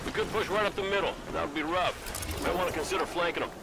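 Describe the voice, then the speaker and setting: A man playing a wry veteran soldier in a game, with a brisk manner. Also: on the high side, gravelly, heavy background noise. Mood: brisk, alert. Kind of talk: scripted game cutscene dialogue.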